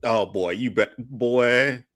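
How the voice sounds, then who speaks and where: A man co-hosting a podcast, chatting casually and getting excited about what he describes. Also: slow. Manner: excitable, swings between high and low. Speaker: podcast co-host. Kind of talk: casual chat.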